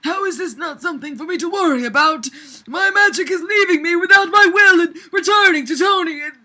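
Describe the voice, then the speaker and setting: A woman's voice: very high voice, a little fast, sharp tone. A woman reading fan fiction, voicing an indignant, worried male god of mischief in a theatrical, emphatic manner.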